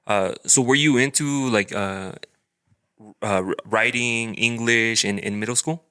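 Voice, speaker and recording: A man's voice: medium pitch, steady tone, measured pace, pushed and bright, clean tone. A man hosting a podcast interview, chatting casually and rambling with lots of fillers. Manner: rambling, filler-heavy, friendly. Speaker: podcast host.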